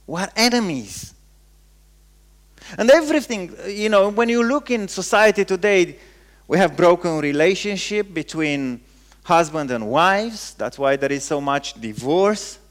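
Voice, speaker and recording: A man preaching with a Romanian accent, his voice pitched well above the usual male range. He delivers the sermon as a pastor would, in short emphatic phrases, often pausing and restating a word to give it weight. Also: animated.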